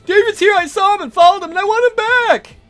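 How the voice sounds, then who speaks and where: A man's voice: very high-pitched, fast talker, pushed and bright, faint music behind, voice pushed up. A man voicing a whiny, dim-witted, hysterical young killer in a visual novel, pleading and stammering. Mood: frantic demanding.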